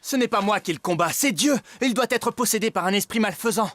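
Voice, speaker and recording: A man voicing a devout, loyal young warrior leader in a dubbed animated Bible drama. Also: sits high, fast, no echo. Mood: grave, wronged.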